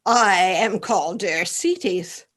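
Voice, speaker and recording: A solemn, loyal soldier played by a woman delivers grim news in a Shakespearean radio play. The pace is very slow and grave, with a medium-pitched voice. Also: fairly bright tone.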